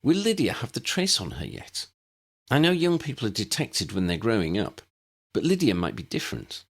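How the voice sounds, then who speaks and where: A man's voice: mid-range voice, sing-song, normal speed, voice pushed up. A man voicing an earnest, sensible young woman witch with a precise, insistent manner in an audiobook. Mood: helpful.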